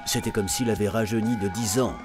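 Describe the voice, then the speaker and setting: A man narrating an animated story from a script, as a storyteller. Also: fairly low pitch, fairly quick. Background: music under the voice.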